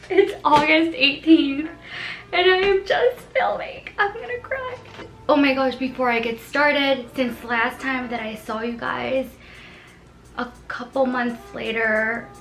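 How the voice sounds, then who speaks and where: A woman in her twenties chatting excitedly in a casual beauty haul vlog, gushing and giggly. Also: a little high. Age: 20s. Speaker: beauty vlogger. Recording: echoey room with background music.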